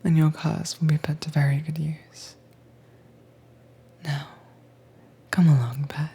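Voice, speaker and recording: A dominant mistress, acted by a woman, speaks low and very breathily, almost whispering. She takes her time, coaxing and teasing in an intimate roleplay while staying firmly in command. Her tone is dark and velvety soft. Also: flat delivery, slow, slightly rough, full character voice. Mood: calm, controlling.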